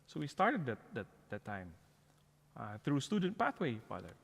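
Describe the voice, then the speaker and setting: A middle-aged man with a Filipino accent speaks as a guest in a church interview. His voice sits at a medium pitch, with the long reverb of a large hall around it. He talks in a conversational, storytelling way. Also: huge pitch swings, normal speed, vocal fry, slightly rough. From Philippines. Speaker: guest, parish outreach coordinator. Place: church, before the altar.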